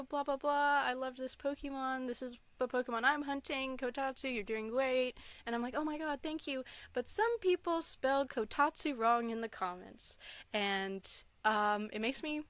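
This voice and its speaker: A woman's voice: a little high, fairly bright tone, no echo. A young adult woman chatting casually and enthusiastically as an interview guest, often giggling as she talks. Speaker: interview guest, content creator. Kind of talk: casual chat, answering interview questions.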